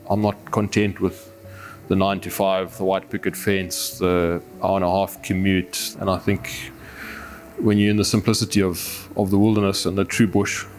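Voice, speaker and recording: A man's voice: low voice, a little mellow. A man from Zimbabwe with a Zimbabwean accent, speaking earnestly and philosophically in a reflective, conversational documentary interview. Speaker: adventurer and overlander, interviewee. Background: music.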